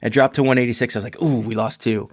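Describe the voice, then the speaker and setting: A man hosting a podcast in a rambling, chatty solo talk, his voice a little hoarse and congested. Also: medium pitch, fairly even intonation, fast talker, no echo, clean studio sound. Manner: rambling, chatty, many restarts and fillers. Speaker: podcast host. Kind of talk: casual solo podcast monologue.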